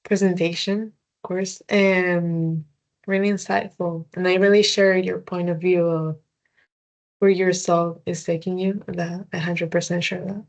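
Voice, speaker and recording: A woman co-host on a video call, speaking politely and in a measured way. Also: fairly low pitch, level tone, darkish tone, muffled recording.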